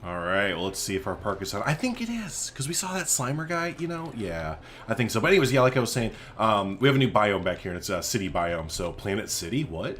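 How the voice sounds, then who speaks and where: A man's voice: fairly low pitch, big pitch swings, quick pace, few pauses, fairly bright tone, slight background noise. A man hosting a gaming video, rambling casually and thinking aloud as he plays. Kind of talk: casual game commentary.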